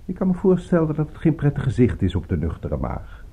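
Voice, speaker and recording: A man's voice: on the high side, wildly swooping pitch, gravelly, mellow, breathy edge, full character voice. An actor playing a genteel, courteous but evasive male host in a radio drama, speaking politely and urbanely. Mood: affable. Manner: polite, urbane, unhurried small talk.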